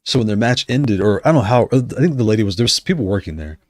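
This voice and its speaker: A man with a very low voice talks loosely on a livestream, starting sentences over and wandering between points. His voice is wearing hoarse, and the sound is close and dry with no room around it. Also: lively intonation, quick pace, a little mellow, no room sound. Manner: rambling, self-correcting, conversational. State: losing his voice. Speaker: streamer discussing controllers. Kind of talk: casual livestream chat.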